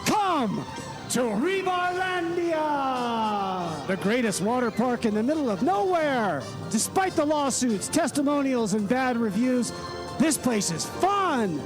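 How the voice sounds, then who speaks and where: A man's voice: big pitch swings, takes it slowly, over music. A man doing a hyped announcer voice for a spoof radio commercial. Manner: hyped pitchman delivery.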